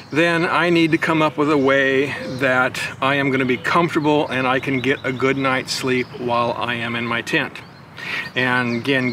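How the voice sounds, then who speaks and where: A man's voice: mid-range voice, background noise. An older man demonstrating his camping gear in a backyard, talking in a casual, step-by-step way that keeps circling back with "again" and "right". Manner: conversational, explains step by step with frequent "again" and "right". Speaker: motorcycle vlogger. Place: backyard lawn outdoors.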